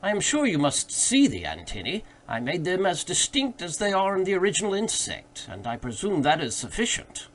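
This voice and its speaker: A man voicing a proud, eager, easily ruffled gentleman naturalist with emphatic, formal diction in a story reading. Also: high-pitched, huge pitch swings, normal speed, hardly any pauses, a little hiss or hum, voice pushed up, low-quality recording. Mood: ruffled, defensive.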